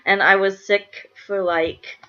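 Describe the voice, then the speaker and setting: A woman's voice: medium pitch, level tone, takes it slowly, sharp tone, smooth, dull audio. A young woman with a congested, stuffed-up voice chatting casually to viewers, rambling and emphatically repeating herself. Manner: repetitive, emphatic, restating phrases. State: congested from a cold. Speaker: vlogger.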